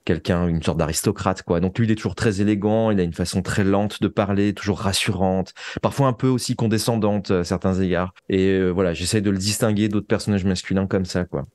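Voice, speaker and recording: A man's voice: low voice, steady tone, fairly quick, hardly any pauses, a little mellow, no room sound. A man speaking as an interview guest, a fiction writer answering conversationally and often searching for words and repeating himself.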